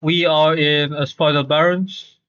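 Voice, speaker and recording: A man doing casual live game commentary, halting and repeating himself for emphasis. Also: fairly high pitch, flat delivery, a bit bright. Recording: dull, lo-fi stream audio.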